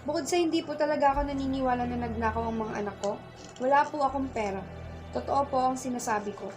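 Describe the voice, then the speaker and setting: Radio drama: woman as meek, pleading mother, high voice, respectful yet steadfast, over clear music. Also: quick pace, close to an everyday voice. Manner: deferential, pleading. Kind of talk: radio play acting in Filipino.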